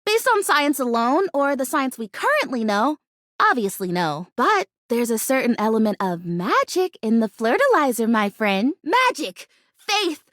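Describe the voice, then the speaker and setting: A woman's voice: on the high side, very animated, a little slow, fairly bright tone, no room sound, studio quality. A woman voicing a bubbly, naive, stubbornly hopeful fake houseplant in a video game, chatty and dramatic in her delivery. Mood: defiant, pleading. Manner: perky, dramatic, emphatic, chatty. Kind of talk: animated video game character acting.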